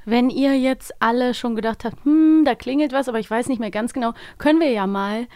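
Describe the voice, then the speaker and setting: A woman co-hosting a casual podcast about audio dramas, explaining things in an animated way. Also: fairly high pitch, a little fast, smooth, dry recording, clean studio sound. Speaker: podcast co-host.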